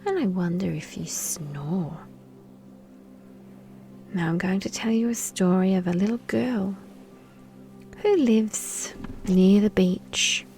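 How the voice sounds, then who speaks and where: A woman leads a children's sleep meditation in a mellow, half-whispered voice. She speaks slowly and gently, with lulling pauses between phrases. Faint music plays softly behind her. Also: mid-range voice. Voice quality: soft, breathy, half-whispered. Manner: soothing, gentle, lulling delivery with long pauses. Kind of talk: guided sleep meditation and bedtime story. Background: soft background music.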